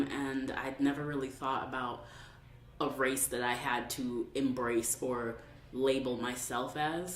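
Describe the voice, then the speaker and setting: A young woman in an interview, earnestly telling a story from memory. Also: a bass voice. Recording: roomy with faint hiss.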